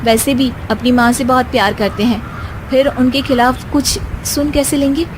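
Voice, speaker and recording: A woman acts a young Indian daughter-in-law in a cartoon tale. Her voice is high, dutiful and anxious, meek in replies and fretting to herself. Clear urban noise sits behind her. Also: steady tone, moderate pace, natural voice. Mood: fretful. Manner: meek when answering, fretful when muttering to herself. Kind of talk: acted Hindi cartoon moral story.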